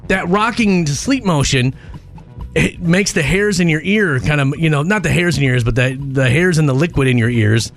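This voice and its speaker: A man co-hosting a morning radio show, explaining and bantering in an animated, conversational, punchy way from a studio. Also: on the high side, normal speed, faint music behind.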